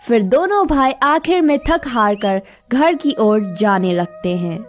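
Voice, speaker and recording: A woman narrates a scripted moral tale in a fairly high voice. She takes her time with each sentence, very slowly, as a storyteller does, over faint music. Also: lively intonation.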